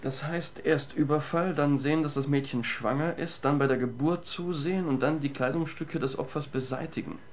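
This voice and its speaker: A man's voice: on the high side, moderate pace, hardly any pauses, a little hiss or hum, natural voice. An actor playing a cheeky, thoughtful male police detective in a German radio crime drama, speaking in a wry, conversational way. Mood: pondering.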